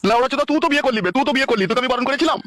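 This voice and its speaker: A man voicing a grumpy, foul-mouthed older father-in-law in a comic cartoon dub, grumbling excitably. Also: very high-pitched, rapid-fire. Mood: irritated cursing.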